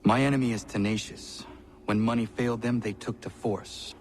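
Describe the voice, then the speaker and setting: A man's voice: fairly low pitch, steady tone, slight background noise, close to an everyday voice. Actor as solemn, earnest young male assassin. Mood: solemn, resolute. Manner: formal, measured, stiff phrasing. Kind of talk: video game voice acting.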